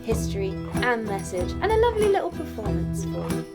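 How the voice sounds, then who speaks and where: A woman's voice: fairly high pitch, big pitch swings, quick pace, loud music behind the voice. A woman co-hosting a casual chat about a folk song, cheerful and giggly in conversation. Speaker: co-host, musician.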